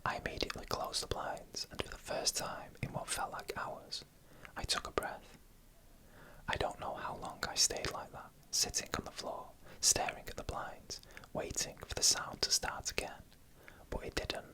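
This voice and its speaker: A narrator telling stories in a breathy, unvoiced ASMR whisper, in hushed and deliberate short phrases. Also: low-quality recording. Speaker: narrator and channel host.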